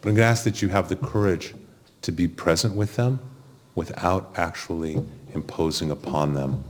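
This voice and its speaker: A man speaking as a rabbi leading a funeral service, gently consoling and conversational as he gives a homily and prayers. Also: low-pitched, normal speed, a little hiss or hum. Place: funeral chapel.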